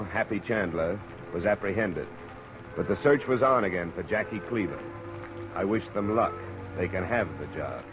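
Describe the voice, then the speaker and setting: A man's voice: medium pitch, close to an everyday voice, poor audio. An actor playing a world-weary male insurance investigator in old-time radio drama, with a clipped, wry delivery. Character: world-weary, hard-boiled male insurance investigator narrating his cases. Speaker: detective lead and narrator. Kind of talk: 1940s-50s radio detective acting and narration. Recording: old radio recording under loud rain noise.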